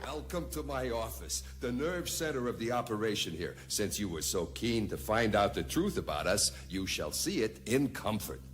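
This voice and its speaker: An actor playing a brash, menacing young corporate executive in a radio comedy, bossy, emphatic and self-important. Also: male voice, fairly low pitch, big pitch swings, moderate pace, hardly any pauses, music in the background. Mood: bossy, smugly threatening. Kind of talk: radio comedy drama acting. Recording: long reverb, faint background noise.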